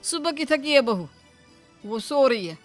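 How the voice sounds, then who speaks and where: A woman doing a worried young husband in a cartoon, anxious yet firm, in a high voice. Faint music runs behind. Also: lively intonation, measured pace, dry recording.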